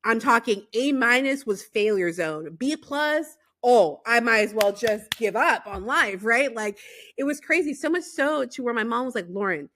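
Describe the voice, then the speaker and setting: A woman's voice: a little high, lively intonation, normal speed, a bit bright. A woman from Los Angeles hosting a podcast, chatting animatedly with lots of fillers and laughter. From Los Angeles, USA. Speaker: podcast host. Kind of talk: casual interview chat.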